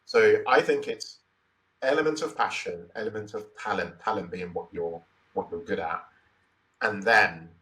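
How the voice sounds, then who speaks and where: A man's voice: fairly low pitch, normal speed, a bit bright, distant mic, poor audio. A Nigerian man in his forties, telling his story as an interview guest in a thoughtful, reflective way with many "you know" fillers. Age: 40s.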